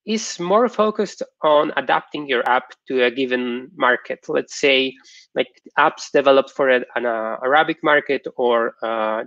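A young man, a software developer, giving an informal technical presentation online in a halting, explanatory way. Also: mid-range voice, dry recording. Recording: dull, lo-fi online stream audio.

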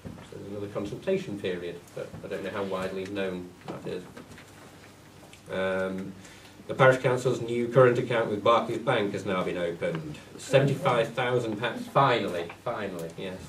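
A man's voice: on the low side, normal speed, recorded from a distance. A man with a cold, sounding bunged up, reading a clerk's report to a council meeting. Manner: plain, steady reading of figures, with asides. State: congested with a cold. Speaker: parish clerk. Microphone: far room mic. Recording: echoey hall, voice off mic, faint hiss.

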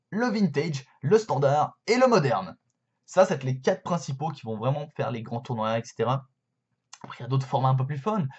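A young man in his twenties talks casually to his webcam, stopping often for fillers and restarts. His voice is a little husky and sits at an ordinary pitch, and the lo-fi webcam audio sounds dull and muffled. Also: a little mellow. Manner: chatty, lots of hesitations and fillers. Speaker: YouTuber explaining a card game. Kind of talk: casual explainer vlog to camera. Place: bedroom with shelves, indoors.

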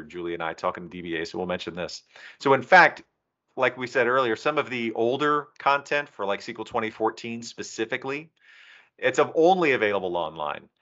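A man's voice: mid-range voice, pushed and bright. A man giving a technical presentation to a user group over an online video call. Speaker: presenter, documentation team member. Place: indoors, remote video call. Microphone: video call microphone. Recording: slightly dull video-call audio.

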